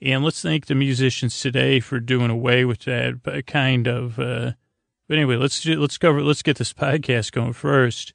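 A man with a creaky voice hosting a bedtime podcast in a meandering, halting monologue, stammering through tangents in a languid, lulling way. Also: mid-range voice, fairly even intonation, no room sound, studio quality. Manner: languid, drawn-out delivery meant to lull. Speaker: podcast host.

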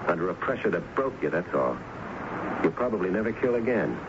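An actor playing a stubborn police lieutenant in a radio drama, pressing a suspect in a low, probing voice. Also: male voice, big pitch swings, a little fast. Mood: accusing. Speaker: detective narrator. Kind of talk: 1970s radio drama acting. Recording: dull old radio broadcast with hiss.

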